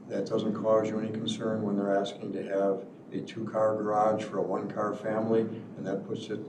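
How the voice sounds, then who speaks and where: A man serving as hearing officer, asking deliberate, probing questions at a zoning hearing. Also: fairly low pitch. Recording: echoey room, distant mic, noisy.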